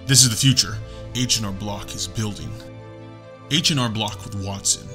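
Low-voiced man reading scripted narration. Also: moderate pace, sharp tone, soft background music. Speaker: voice actor. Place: home recording setup.

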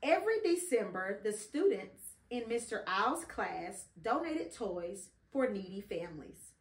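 A middle-aged woman reading a children's story aloud expressively, pausing to prompt and encourage a child. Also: medium pitch, sing-song. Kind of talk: children's read-along storytelling. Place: indoor living room beside a Christmas tree. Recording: roomy, echoing home recording.

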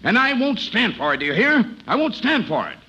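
Husky, wildly swooping pompous boss. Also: male voice, high-pitched, wildly swooping pitch, brisk, sharp tone, full character voice. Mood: blustering. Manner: blustering. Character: pompous, gluttonous, easily offended boss. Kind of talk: 1950s radio comedy acting. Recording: muffled 1950s radio recording.

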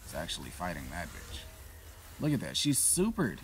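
A man giving casual, profanity-laced commentary while playing a shooter game, reacting loudly to what happens on screen. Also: deep voice, wildly swooping pitch, moderate pace, a little hiss or hum. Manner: swears freely, reacts loudly to in-game events. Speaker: gameplay commentator.

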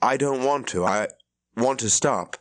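A man's voice: mid-range voice, a little slow, dry recording, pitched above the performer's own voice, close to an everyday voice. A man voicing an earnest young shepherd who speaks with defiant conviction.

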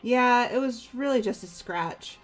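A woman's voice: fairly high pitch, unhurried, close to an everyday voice. A woman playing an earnest, emotional, sporty teenage girl in a video game, sincere and a little hesitant. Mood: subdued. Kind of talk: video game character voice-over. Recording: music bed behind voice.

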